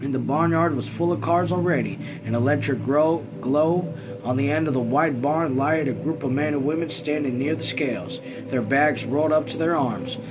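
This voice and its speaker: A man's voice: fairly high pitch, low-quality recording. Man reading aloud, music behind him. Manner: halting, repeats phrases. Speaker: reader of a read-along video channel. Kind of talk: informal reading aloud of a novel.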